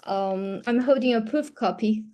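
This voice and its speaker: A woman's voice: fairly high pitch, a little slow, mellow. A woman moderating a book discussion and putting questions to guests. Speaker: panel moderator.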